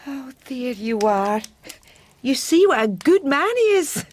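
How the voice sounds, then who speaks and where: High-voiced, husky, fussing Scottish wife. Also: female voice, sing-song, slow, heavily acted. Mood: anxious, insistent. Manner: nagging, talks over others. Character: fussing, bossy, devoted schoolmaster's wife. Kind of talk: period radio drama acting.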